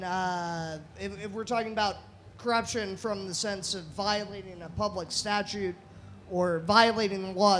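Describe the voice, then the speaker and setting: A man on a panel works through an argument step by step. He is analytical but hesitant and pauses on fillers. His voice is rather high for a man. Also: fairly bright tone. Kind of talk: panel discussion.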